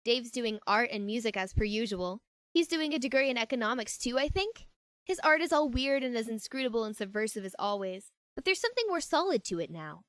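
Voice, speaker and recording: A woman's voice: fairly high pitch, expressive, a little fast, no room sound, lightly acted. A woman acting an earnest, assertive young woman in a fan fiction audio drama, speaking conversationally and emphatically. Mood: chatty, fond. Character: earnest, newly assertive young woman, fed up but friendly.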